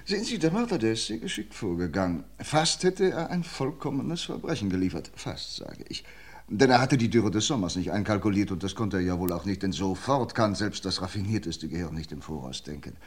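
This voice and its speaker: An actor playing a shrewd, dogged police inspector in an old radio crime play, theatrical and swinging from excited outbursts to quiet menace. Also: male voice, lowish voice, brisk. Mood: menacing. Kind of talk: 1950s-60s radio crime play acting.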